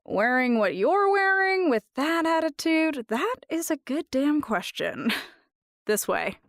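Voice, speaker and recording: A woman's voice: sits high, sing-song, slow pace, dry recording, clean studio sound, full character voice. A woman playing a sassy, laid-back nonbinary human greeter in a vampire audio drama, teasing and breezily patronising.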